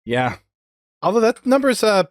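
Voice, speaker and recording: A man's voice: sits high, very animated, moderate pace, no echo, studio quality. A man hosting a podcast, chatting casually as he interviews guests. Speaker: podcast host.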